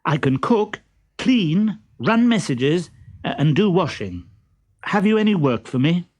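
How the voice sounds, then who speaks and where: A man's voice: fairly high pitch, very animated, a little slow, darkish tone, voice pushed up. A man voicing a kind-hearted, humble young servant lad in love, with an earnest, eager manner, in a storybook.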